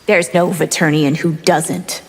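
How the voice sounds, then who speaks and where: A woman's voice: on the low side, level tone, unhurried. An actress playing a menacing, haughty, bloodthirsty winged vampire woman in a video game. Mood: defiant, scornful. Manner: haughty, threatening, theatrical. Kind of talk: video game character dialogue. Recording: long reverb, loud music behind.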